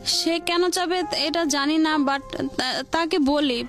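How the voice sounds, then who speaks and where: A woman with a colloquial Bangladeshi accent telling her life story as an interview guest, in halting, broken-off phrases. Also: sits high. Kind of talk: personal confession in interview. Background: music.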